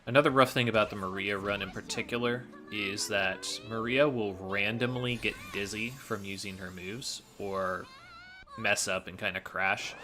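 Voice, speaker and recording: A man's voice: on the low side, steady tone, moderate pace. A man giving explanatory gameplay commentary as a speedrunner, analytical and matter-of-fact.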